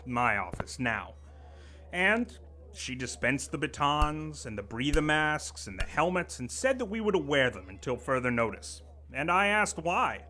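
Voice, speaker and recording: A man voicing a smug, menacing corporate boss in an audio drama who lectures his staff with pompous condescension. His voice sits fairly high and swings widely, with a bright, sharp edge. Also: very animated, a little slow, heavily acted. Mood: lecturing. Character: pompous middle-aged male corporate boss, menacing and sly. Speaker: office vice president.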